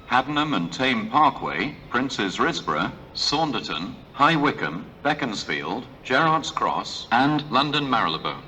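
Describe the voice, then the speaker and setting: A man's recorded voice making automated train announcements on a station platform, in a measured, clearly separated delivery. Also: mid-range voice, pushed and bright, poor audio. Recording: station ambience, faint train noise.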